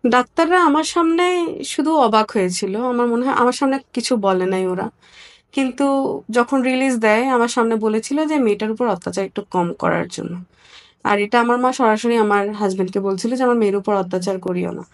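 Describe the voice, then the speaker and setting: A woman from Bangladesh giving a personal account as an interview guest, candid and somewhat halting. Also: a little high.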